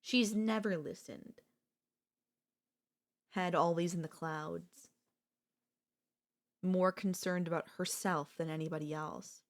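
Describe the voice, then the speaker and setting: Yandere sister-in-law woman voice actor, ASMR roleplay: possessive, cool, intimate, very slow delivery, lowish pitch. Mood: bitter. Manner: slow, deliberate, with long pauses. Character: possessive, obsessive yandere sister-in-law, coolly confessing love.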